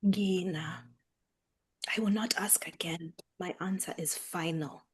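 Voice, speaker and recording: A woman's voice: lowish voice, steady tone, takes it slowly, slightly rough, exaggerated voice. An actress playing an anxious, stern mother from a Kenyan village in a staged drama reading, asking pointed questions. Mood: grave, resolute. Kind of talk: staged script read of a drama.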